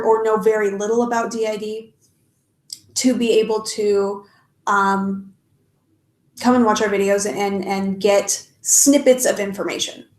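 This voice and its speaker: A young adult woman, stuffed up and sniffly, chatting casually on a live stream and answering questions with many ums and restarts. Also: mid-range voice. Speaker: YouTuber answering live chat. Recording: echoey room.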